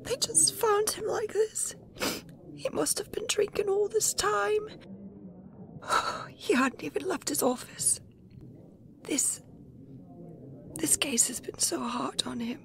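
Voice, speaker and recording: A woman voicing an anxious, caring young woman who talks in a breathy, hushed, worried way. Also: extremely high pitch, slow pace, long pauses, whispered, full character voice.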